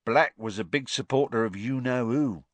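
A young bus conductor, eager and chatty, pitched well above the man who reads him and his own reading voice. He keeps gossiping and pulling his listener into agreement. The sound is close and dry. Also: moderate pace, no room sound, close to an everyday voice. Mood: excited gossip.

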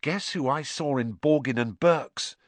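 A man voicing a young schoolboy in a storybook reading, earnest, anxious and a little halting. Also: mid-range voice, dry recording, voice pushed up, close to an everyday voice. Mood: urgent.

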